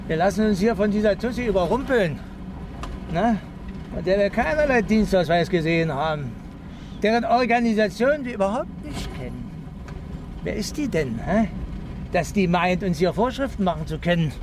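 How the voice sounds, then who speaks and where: A man's voice: sits high, animated, normal speed, background noise. An actor playing a quarrelsome, agitated village policeman in a radio drama, blunt and argumentative. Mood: indignant.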